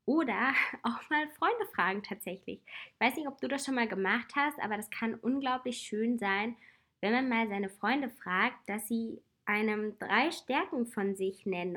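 A woman in her twenties with a slight cold, hosting a casual personal advice talk in a reflective, chatty way with soft laughs. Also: fairly high pitch. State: has a cold.

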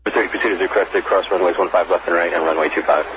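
A deep-voiced man works as a ground controller, rattling off clipped instructions at a fast pace. He comes over a radio channel with plain static. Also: steady tone. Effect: aviation radio. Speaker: ground air traffic controller. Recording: muffled aviation radio, clear static.

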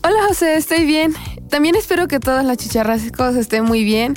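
Teen girl, hesitant with fillers, fairly high voice, faint music behind. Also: steady tone, fairly quick. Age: teens. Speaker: student radio co-host. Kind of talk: casual radio chat.

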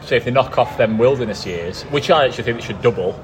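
A man's voice: medium pitch, fast. A man co-hosting a casual podcast chat outdoors, wry and teasing. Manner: wry, teasing, laughs at his own jokes. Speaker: podcast co-host. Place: outdoors by a canal before an away match. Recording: busy crowd noise behind. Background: crowd noise.

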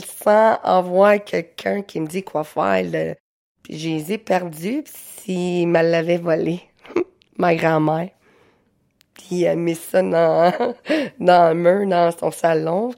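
A woman in her thirties with a Quebec French accent, telling personal stories slowly and haltingly in simple phrases. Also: on the low side, vocal fry, darkish tone. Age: 30s. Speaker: interviewee, craftswoman. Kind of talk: personal storytelling.

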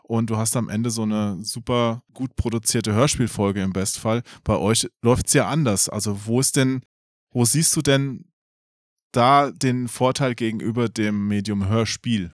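A man's voice: on the low side, measured pace, dry recording, clean studio sound. A man hosting an interview podcast, asking questions in a casual, conversational way.

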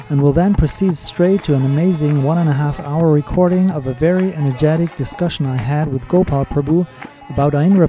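A man hosting a podcast, reading his scripted episode introduction and news in a measured, steady way. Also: a little high. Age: adult with children. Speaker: podcast host.

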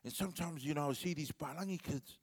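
A middle-aged man with a New Zealand accent and Samoan as his first language tells a loose, rambling comic story on stage. His voice sits a little high for a man, and long reverb from the hall trails behind each phrase. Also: fast talker, slightly rough. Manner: laid-back and rambling, with many fillers. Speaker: comedian. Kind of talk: stand-up storytelling.

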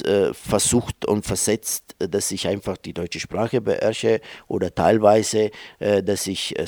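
A man's voice: low voice, a little mellow, no room sound. A man in his forties from Italy with an Italian accent, answering interview questions in a hesitant way with many fillers. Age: 40s. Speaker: interview guest.

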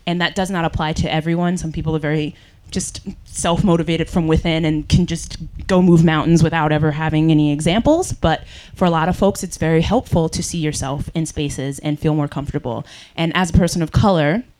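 Woman moderator, lower register, sincere and steady, live panel on a handheld mic. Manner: earnest, measured, with frequent ums. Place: event room with audience. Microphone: handheld microphone.

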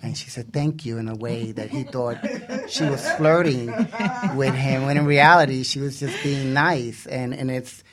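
Mid-pitched male talk show co-host. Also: fairly even intonation, normal speed, a loud crowd. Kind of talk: conversational talk show banter.

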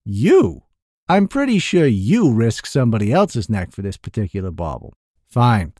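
Boltak, a gruff merchant as a man puts him on. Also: medium pitch, big pitch swings, moderate pace, warm tone, no room sound, clean studio sound. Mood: gruff, incredulous. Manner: blunt, incredulous, crude. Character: gruff skeptical middle-aged male shopkeeper.

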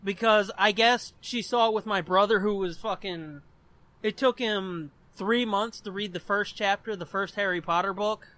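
A man's voice: high voice, moderate pace, bright, forceful tone, low-quality recording. An American man in his twenties hosting a podcast, chatting casually and telling personal stories in a rambling, profanity-laced way. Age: 20s. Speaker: podcast host.

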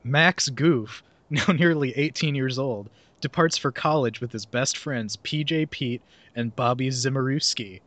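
Sarcastic young guy hosting a podcast, laughs thru his lines, mid pitch. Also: a little slow, hardly any pauses, fairly bright tone, no room sound. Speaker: podcast host. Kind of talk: casual podcast chat, reading a summary aloud.

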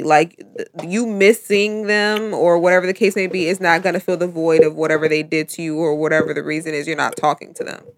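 A woman's voice: low voice, no room sound. A woman chatting casually on a podcast, blunt and bossy, swearing freely. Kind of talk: casual chat.

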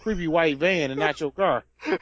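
Fairly high, lively man, laid-back co-host. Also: brisk, dry recording, highs cut off. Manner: laid-back, laughing, stammers and restarts. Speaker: podcast co-host. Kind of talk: casual joking chat.

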